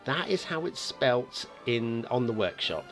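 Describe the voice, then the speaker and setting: A man's voice: mid-range voice, sing-song, a little slow, a bit bright, faint music behind. A man hosting a game map review in a chatty, playfully teasing way, commenting casually and off the cuff.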